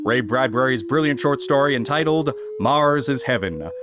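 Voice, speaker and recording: A man's voice: mid-range voice, animated, moderate pace, noisy background. A man reading a scripted announcement as a radio announcer in 1950s style. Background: music.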